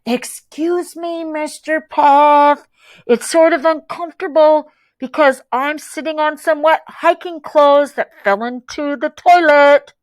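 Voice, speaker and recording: Woman doing a slow, high little boy. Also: low-quality recording. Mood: plaintive. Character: odd, nosy little boy, blunt and slow-talking.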